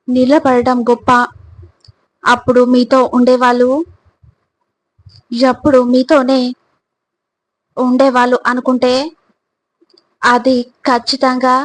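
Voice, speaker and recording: A woman reading scripted motivational narration in a deliberate, instructive manner, addressing the listener directly. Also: high-pitched, poor audio.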